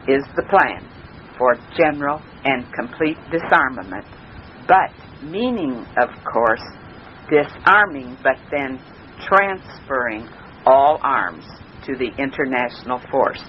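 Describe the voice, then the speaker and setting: An American man giving an earnest, prepared radio lecture in deliberate, emphatic short phrases, heard over shortwave. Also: medium pitch. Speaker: speaker on a political radio broadcast. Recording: dull, noisy 1990s shortwave radio recording.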